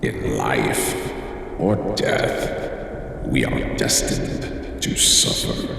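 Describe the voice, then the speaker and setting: A sinister horror show host, booms out of a cavernous, distant space with faint noise. The voice is abysmally deep and croaking, very slow and portentous, like a ghoulish narrator closing a radio play. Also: male voice, fairly bright tone, exaggerated voice. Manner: theatrical, portentous.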